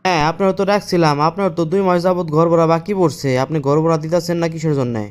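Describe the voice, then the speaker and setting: A man's voice: a little high, fairly even intonation, very fast, clean tone, lightly acted. A man voicing a firm, lecturing cartoon landlord with a Bangladeshi accent. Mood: firm, warning. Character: insistent, threatening male landlord demanding overdue rent. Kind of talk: cartoon voice acting.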